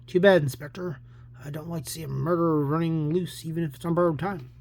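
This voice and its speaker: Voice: medium pitch, steady tone, fast, darkish tone, slight background noise, close to an everyday voice. A reader voicing a dry, probing newspaper reporter in a comic book story, asking pointed questions.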